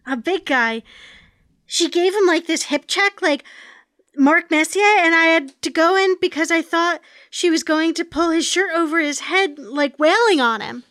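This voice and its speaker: A woman acts out a sitcom wife and mother at a table read. Her voice is high and animated with a sarcastic edge, recorded dry. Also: a little slow, no echo. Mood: animated, fond.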